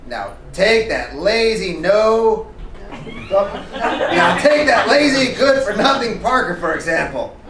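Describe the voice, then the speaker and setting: A man's voice: sits high, very animated, takes it slowly, a loud crowd, full character voice. A man playing a blustering, bombastic, grouchy newspaper boss from a comic book. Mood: ranting. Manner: blustering, indignant, bombastic declamation. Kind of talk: comic book dramatic reading before an audience. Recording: echoey, distant live recording with noise.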